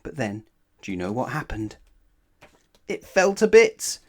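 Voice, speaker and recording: A man's voice: medium pitch, very animated, measured pace, darkish tone. A man reading a children's story aloud as narrator, expressively and with dramatic emphasis. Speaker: storybook reader.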